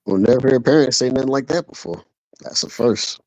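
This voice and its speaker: A man's voice: medium pitch, a little fast, darkish tone, no room sound, low-quality recording. A man co-commentating in casual chat, laid-back and colloquial, often adding tag phrases.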